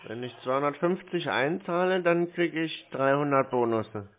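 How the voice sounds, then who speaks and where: A man's voice: on the high side, normal speed, a little hiss or hum. Hesitant man on a tinny phone line. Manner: hesitant, naive-sounding, questioning. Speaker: prank caller posing as a customer. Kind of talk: casual phone conversation.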